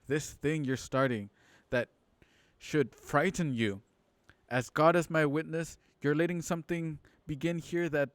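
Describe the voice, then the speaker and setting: A man's voice: medium pitch, no room sound, lightly acted. An actor as a cornered suburban man in a radio play, indignant and defiant. He repeats himself with accusing emphasis, in a dry recording with little room sound. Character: indignant, cornered suburban man defying his frightened neighbours. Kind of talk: radio drama acting.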